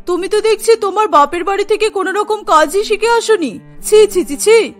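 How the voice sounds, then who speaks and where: A woman's voice: very high-pitched, normal speed. A woman voicing a disapproving middle-aged mother-in-law who scolds and threatens in a cartoon. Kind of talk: cartoon story dialogue. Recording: music behind the voice.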